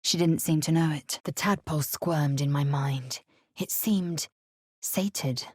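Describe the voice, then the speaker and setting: A woman voicing a fierce, proud githyanki warrior in a video game, imperious and clipped in manner, with a husky, breathy voice. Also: sits low, takes it slowly, dry recording, clean studio sound, exaggerated voice. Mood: solemn narration.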